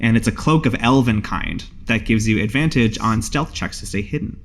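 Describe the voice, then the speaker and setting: A man's voice: on the low side, animated, moderate pace, creaky. A man casually narrating an improvised tabletop game as its game master.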